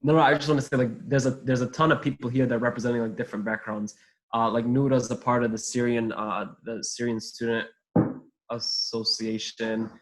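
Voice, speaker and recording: A man's voice: medium pitch, little pitch movement, rough recording. A young man leading a student association, speaking eagerly in a panel discussion. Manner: rapid, eager, with many fillers.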